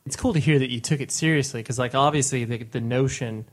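A man's voice: medium pitch, quick pace. An American man interviewing in a casual, conversational way, often chiming in to agree. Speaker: podcast interviewer.

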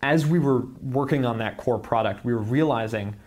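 A man's voice: medium pitch, moderate pace, darkish tone. A man in his mid-twenties from California, a startup founder talking casually in an interview. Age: 20s.

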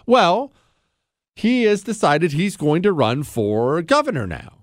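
Sarcastic talk radio host, dry voice. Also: male voice, on the high side, huge pitch swings, slow pace, no echo. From Ohio, USA. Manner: sarcastic, joking, mocking. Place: radio studio.

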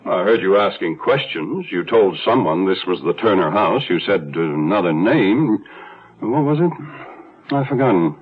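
Needling husband, husky and lively. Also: male voice, low voice, unhurried. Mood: cold, accusing. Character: suspicious, needling, controlling well-to-do husband. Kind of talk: 1970s radio drama acting. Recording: muffled old radio recording.

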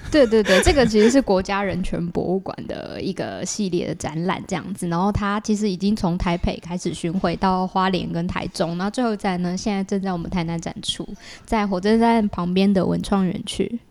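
Mellow-voiced Taiwanese woman explaining. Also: mid-range voice, a little fast, hardly any pauses. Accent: Taiwanese Mandarin. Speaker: guest artist. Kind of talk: casual explanatory chat.

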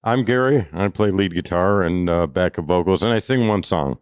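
A man, a guitarist guest, cracking dry jokes in a relaxed way. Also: normal speed, clean tone, no room sound. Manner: low dry joking.

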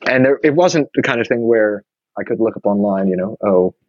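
A man's voice: fairly low pitch, lively intonation, a little fast, a little mellow, muffled recording, a little hiss or hum. A man, talking thoughtfully in a casual conversation with many restarts. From New York. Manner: reflective, many restarts and fillers.

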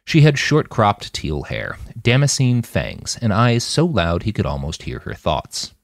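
A man reading a scripted story aloud as an expressive audiobook-style narrator. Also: on the low side, measured pace, creaky, no echo, studio quality. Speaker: author reading own fiction podcast.

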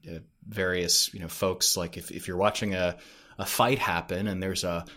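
A man giving casual explanations as a podcast guest, haltingly thinking aloud as he goes. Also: low voice, level tone, pushed and bright. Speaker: podcast creator, interview guest. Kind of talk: casual explanatory interview talk.

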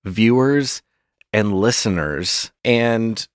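A man's voice: lowish voice, expressive, drawn-out words, clean tone, no echo. A man co-hosting a podcast, trading dry jokes in casual banter. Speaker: podcast co-host.

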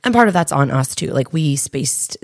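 A woman in her thirties with a raspy voice, chatting candidly as a podcast co-host and health coach, with plenty of fillers. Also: sits low, expressive, normal speed, no room sound, clean studio sound. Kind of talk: casual podcast chat.

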